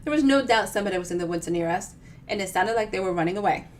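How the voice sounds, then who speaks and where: A woman giving an expressive storytime reading of their own fiction, with chatty, informal asides. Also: medium pitch, rapid-fire, slight background noise. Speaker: author reading their own novel on a live show.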